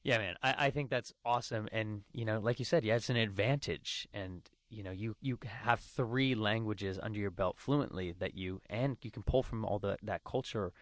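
A man's voice: on the low side, normal speed, hardly any pauses, dry recording, clean studio sound. A man interviewing a guest in an upbeat, enthusiastic way with lots of fillers. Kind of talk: casual conversation.

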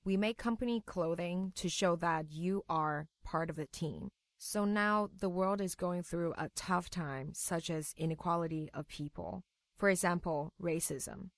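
A woman's voice: lowish voice, measured pace, no echo, studio quality. A young adult woman from Taiwan with a Taiwanese accent, speaking English as her second language, answering interview questions earnestly. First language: Mandarin. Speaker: guest.

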